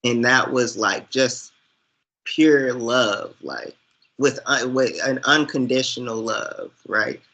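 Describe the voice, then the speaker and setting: A man with a bright, forward voice at a middling pitch speaks as a guest in a casual chat. He goes slowly and haltingly, reflecting aloud in winding thoughts. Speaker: guest, online movie reviewer.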